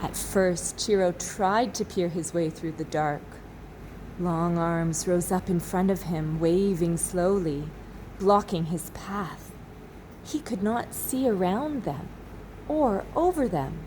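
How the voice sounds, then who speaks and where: A woman teacher reading a children's storybook aloud expressively, with dramatic pauses. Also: fairly low pitch, a little mellow, slight background noise, low-quality recording. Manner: slow, dramatic pauses, asks listeners questions.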